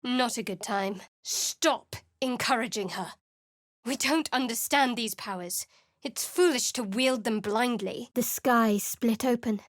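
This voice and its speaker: An actress voicing a guarded, secretive young woman cleric in a game, speaking in a measured, dry, cool manner. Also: fairly high pitch, no room sound, close to an everyday voice. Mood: cold, dismissive. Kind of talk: game voice acting. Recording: dry studio recording.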